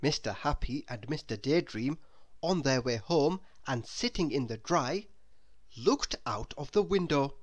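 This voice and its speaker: A woman's voice: extremely low pitch, lively intonation, a little slow. A woman reading a children's storybook aloud, expressively and as if speaking straight to young listeners.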